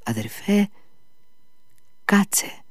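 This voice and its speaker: A man playing a gentle, frightened young man. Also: low voice, expressive, no room sound, studio quality. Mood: frightened, pleading.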